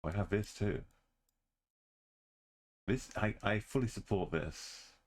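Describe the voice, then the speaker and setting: A deep-voiced man talks slowly through his game. He keeps to short, clipped phrases, mostly talking to himself. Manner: muttering, terse thinking aloud. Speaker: streamer. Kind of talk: commentary while playing a game.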